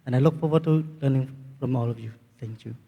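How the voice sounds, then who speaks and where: A man's voice: medium pitch, quick pace, creaky, narrow, tinny audio. A man from Cambodia with a Cambodian accent, giving courteous, formal remarks at a round table. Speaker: government trade official. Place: large reverberant meeting room. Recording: long hall reverb.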